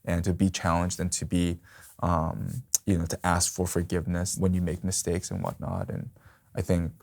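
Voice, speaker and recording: A man's voice: sits low, normal speed, darkish tone. A man in a church panel responding to another speaker with enthusiastic agreement. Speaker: discussion panelist. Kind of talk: candid conversation.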